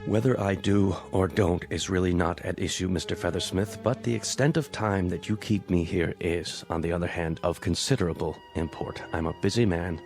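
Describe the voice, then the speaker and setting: Stern bitter old business man, low creaky voice, very formal, radio play with music under it. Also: normal speed, few pauses, darkish tone. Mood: curt. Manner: formal, clipped, disdainful, precise diction. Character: stern, embittered old businessman, a male rival. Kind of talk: audio drama acting.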